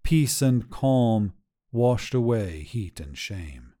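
A man narrating scripted literary fiction in a measured, dramatic storytelling manner. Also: on the low side, very animated, dark tone. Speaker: narrator reading short fiction.

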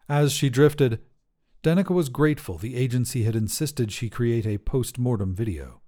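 A man narrates scripted fiction for a podcast. His voice sits at a middle pitch and has a mellow, relaxed tone. He reads as a storyteller and host.

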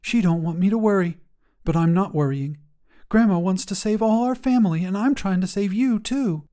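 A man's voice: sits high, moderate pace, warm tone, voice pushed up, close to an everyday voice. Man putting on an earnest little girl. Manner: urgent pleading whisper.

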